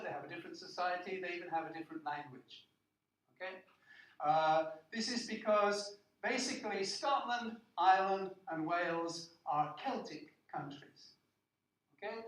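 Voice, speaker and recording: A man's voice: on the high side, fairly bright tone, muffled recording. An English man giving a classroom lecture, explaining step by step and often checking with "okay?" and "uh". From England. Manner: plain explanatory, frequent "okay?" checks and "uh" fillers. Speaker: university lecturer. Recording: echoey classroom, distant microphone.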